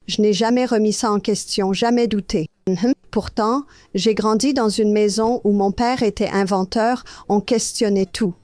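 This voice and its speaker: An older woman from Australia tells her story as an interview guest, earnest and anecdotal. Her voice sits at an ordinary pitch and is recorded close and dry. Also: darkish tone, no echo. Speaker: guest health educator.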